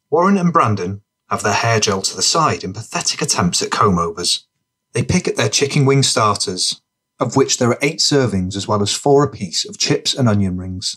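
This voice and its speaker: A man with a fairly low voice narrates fiction. It is scripted audiobook storytelling, read as the narrator. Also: fairly bright tone, husky.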